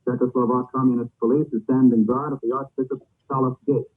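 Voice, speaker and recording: An old, narrow-band radio recording of a man reading news in 1940s style. His voice sits at an average pitch with a husky haze, and his delivery is formal and declarative. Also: little pitch movement, fast. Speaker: radio newscaster.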